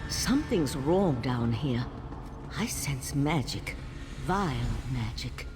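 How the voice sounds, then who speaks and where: A woman voicing a composed, inquisitive mage of an ancient monastic order in a fantasy game, measured, formal and articulate. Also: extremely low pitch, lively intonation, music in the background, heavily acted. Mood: grave, analytical. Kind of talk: scripted fantasy game dialogue. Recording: long reverb, faint background noise.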